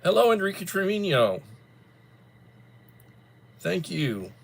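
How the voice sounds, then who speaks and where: A man hosting a casual livestream, chatting to viewers while still stuffed up and coughing from the flu, in a rambling, drawn-out way. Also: high voice, sing-song, gravelly, breathy edge. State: congested, coughing after flu. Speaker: livestream host. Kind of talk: casual chat greeting viewers.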